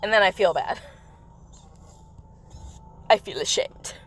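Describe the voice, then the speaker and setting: A woman chats loosely to her viewers during a game livestream, wandering from topic to topic. Her voice sits at a middling pitch and has turned slightly raspy from coughing. She laughs easily between thoughts. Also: expressive, slow pace, a bit bright. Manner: rambling, chatty, with frequent laughs. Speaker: livestream host. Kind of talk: casual chat while playing a mobile game.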